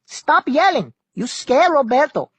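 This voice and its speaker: A reader voicing a hot-tempered male boat navigator who speaks broken English and yells in sharp bursts. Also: high voice, big pitch swings, a little slow, no room sound, pitched above the performer's own voice, exaggerated voice. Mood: scolding.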